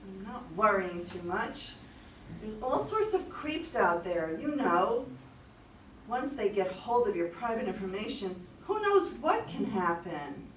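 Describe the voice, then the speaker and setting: A woman's voice: mid-range voice, sing-song, a little slow. A woman playing an anxious, indignant wife who believes she is watched online, in a staged play reading. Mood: defensive. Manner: defensive, exclamatory. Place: room at a live readers' theater reading. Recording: echoey room, distant mic, faint noise.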